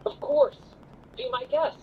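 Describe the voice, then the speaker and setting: An actor playing a gentle, earnest, boyish young dragon in human form in a video game, openly emotional. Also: male voice, measured pace, far from the mic, phone-quality sound, a little hiss or hum, natural voice. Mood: agreeable.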